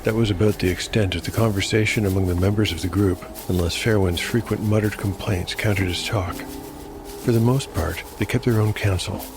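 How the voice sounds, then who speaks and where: A man reading scripted dark fantasy narration in a measured storytelling manner. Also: on the low side, steady tone. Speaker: narrator and host. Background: music.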